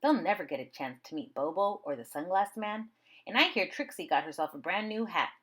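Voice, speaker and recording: Lady doing a feisty old grandma from a picture book, folksy n loving scolding, super animated. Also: fairly low pitch, brisk, husky. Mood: gently chiding. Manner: folksy, emphatic, gently scolding. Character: spirited old grandmother, brisk, wise and loving.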